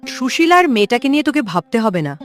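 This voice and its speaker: A woman's voice: high-pitched, big pitch swings, fast talker, faint music behind. A woman voicing a stern, insistent old mother-in-law in a melodramatic cartoon story. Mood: coaxing. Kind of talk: Bengali cartoon story dialogue.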